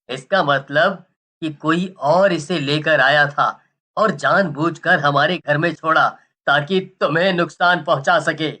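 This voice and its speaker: A man playing a dramatic, grieving and protective village father in a moral-story cartoon, with halting delivery. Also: high-pitched, sharp tone, heavily acted. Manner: dramatic, halting, repeats words.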